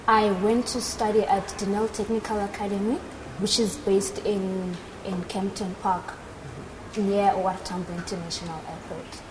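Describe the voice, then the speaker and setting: A woman's voice: medium pitch, flat delivery, moderate pace. A woman in her twenties with a South African accent, explaining things as an interview guest. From South Africa, Northern Cape. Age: 20s. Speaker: interview guest, aircraft maintenance engineer. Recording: noticeable background noise at live event.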